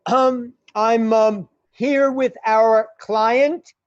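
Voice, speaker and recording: A woman playing a cautious criminal go-between in a radio play, halting and careful, on a dull recording. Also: on the high side, steady tone, darkish tone. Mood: cautious, hesitant. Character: cautious, hesitant criminal go-between giving orders. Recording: dull, echoey room sound.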